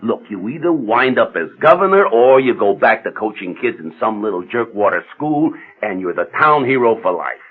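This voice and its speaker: An actor playing a glib, pushy senator in a radio drama, smooth-talking, insistent and blustering. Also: male voice, fairly low pitch, very animated, normal speed, few pauses, narrow, tinny audio. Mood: pushy persuasion. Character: glib, pushy male senator and party fixer.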